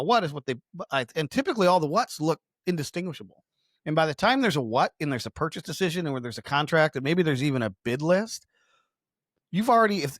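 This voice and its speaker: A man's voice: a little high, fairly bright tone, dry recording, clean studio sound. A man explaining ideas conversationally as a business podcast co-host, emphatic and slipping into imagined customer quotes.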